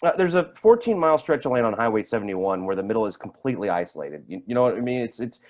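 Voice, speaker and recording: An edgy, foul-mouthed highway patrolman , acted by a man in a stage drama. He talks quickly and trips over his words, his pitch rising and falling in a lively way as he rants. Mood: agitated storytelling. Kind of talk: staged play reading.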